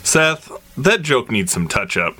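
A man's voice: mid-range voice, very animated, a little slow. A man delivering a scripted radio ad with friendly, cheery banter. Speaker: ad presenter. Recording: radio ad over guitar music.

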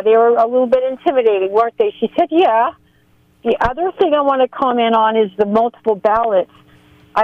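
A woman's voice: on the high side, dry recording. A woman calling in to a radio show as a poll worker, telling stories in a chatty way with laughs. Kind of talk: phone-in storytelling. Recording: muffled phone line.

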